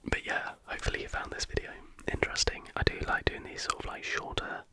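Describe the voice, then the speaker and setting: A British ASMR host whispering casual, chatty reaction commentary in a hushed, informal, rambling way. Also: fast talker.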